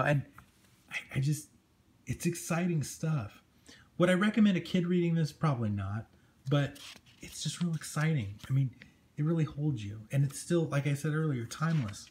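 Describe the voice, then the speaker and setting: A man's voice: fairly high pitch, moderate pace, darkish tone. A man hosting a casual comic book review, talking in a conversational, enthusiastic way as he goes through the pages. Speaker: comic book show host. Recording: clearly noisy amateur recording with room ambience.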